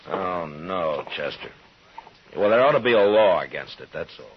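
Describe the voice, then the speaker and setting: An actor playing a steady, stern frontier marshal with an American accent and a measured, dry delivery in a radio western. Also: male voice, low-pitched, a little hiss or hum. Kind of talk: old-time radio western acting and narration. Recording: muffled old radio recording, music.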